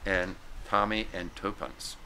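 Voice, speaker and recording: A man's voice: deep voice, steady tone, takes it slowly, gravelly, pushed and bright, a little hiss or hum, full character voice. A man voicing an indignant, defensive young woman in a literary story, with pointed, succinct delivery.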